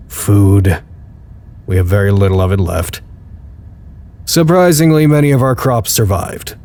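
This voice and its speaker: A man's voice: fairly low pitch, darkish tone, natural voice. A man playing a weary, dutiful researcher who records formal, articulate status logs with dry wit in an audio drama. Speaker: narrator. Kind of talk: audio drama log narration.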